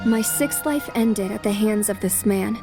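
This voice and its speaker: A woman voicing a poised, self-assured young noblewoman in an anime fan dub, formal, calm and resolute. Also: medium pitch, measured pace, music in the background, close to an everyday voice. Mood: composed.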